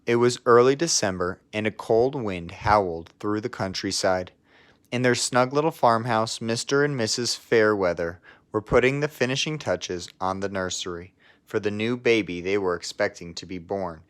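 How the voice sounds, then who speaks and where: A man with a fairly low voice reads a children's storybook aloud. His delivery is expressive, aimed at young listeners. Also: moderate pace. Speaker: storybook reader. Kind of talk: children's picture book read-aloud.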